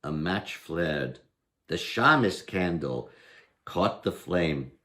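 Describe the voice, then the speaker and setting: A man's voice: low-pitched, lively intonation, takes it slowly, sharp tone. An older man reading a story aloud expressively, as a storyteller at home. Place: indoor room at home, by lit candles.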